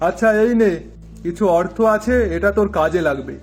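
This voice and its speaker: A man voicing an authoritative but obliging village headman in an animated folk tale, with a husky voice. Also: high voice, brisk, soft background music. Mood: kindly.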